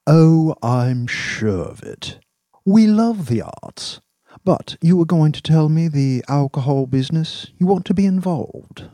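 A man voicing a cool, sardonic male kingpin in an audiobook, speaking in a deliberate, formal, dryly ironic way. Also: a little high, animated, mellow, no echo, voice pushed up. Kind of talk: audiobook character dialogue.

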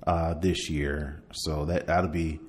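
A middle-aged American man in casual podcast conversation, co-hosting with a halting, laid-back delivery. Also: a bass voice, little pitch movement. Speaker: podcast co-host. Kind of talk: casual chat.

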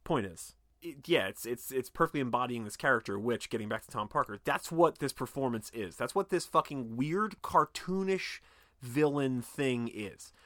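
A young man co-hosting a podcast, chatting casually about films, animated and swearing, breaking into mocking impressions. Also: mid-range voice, moderate pace, no room sound, clean studio sound. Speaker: podcast co-host. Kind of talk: casual film discussion.

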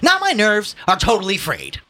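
Man putting on a haughty, pompous high-pitched gentleman. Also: huge pitch swings, normal speed, exaggerated voice. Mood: aggrieved. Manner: haughty, upper-crust, grandiose. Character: pompous, haughty, condescending thirty-year-old man of letters. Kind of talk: audiobook-style novel reading.

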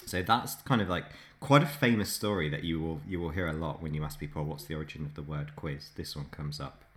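A man's voice: a bass voice, a little fast. A man co-hosting a casual podcast, teasing dryly and sharing history trivia. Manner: dry teasing, lecturing asides. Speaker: podcast co-host.

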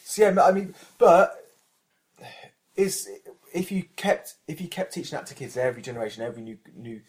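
A man's voice: on the high side, animated, moderate pace, noisy background. A British man co-hosting a podcast in casual chat, rambling with many restarts and fillers. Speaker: podcast co-host.

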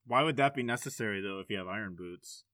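A man's voice: on the low side, fast, pushed and bright, dry recording, clean studio sound. A man in casual game chat, blunt and sweary, often asking questions. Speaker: podcast speaker.

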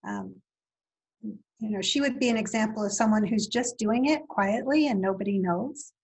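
Husky woman, native Italian speaker, reflective podcast guest pausing on fillers. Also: mid-range voice, sing-song, darkish tone, poor audio. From Italy. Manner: thoughtful, with frequent fillers and restarts. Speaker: university guest. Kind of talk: podcast interview.

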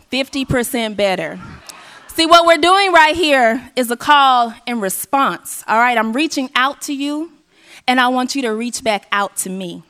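A hoarse woman from Arkansas, hosting a live event on a stage mic and rallying the audience with call and response. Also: high voice. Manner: energetic, rallying the crowd. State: hoarse, losing her voice. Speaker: event emcee. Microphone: handheld stage mic. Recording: live hall with faint audience.

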